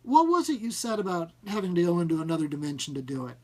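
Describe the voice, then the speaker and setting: A man's voice: high voice, big pitch swings, quick pace. A man voicing a bemused, apologetic companion in a science fiction story, hesitant and wry. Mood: puzzled.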